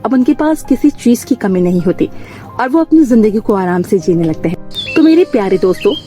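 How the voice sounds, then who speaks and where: A woman's voice: mid-range voice, expressive, a little fast, warm tone. A woman reading a scripted moral bedtime story as its narrator. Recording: music bed under the voice. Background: background music.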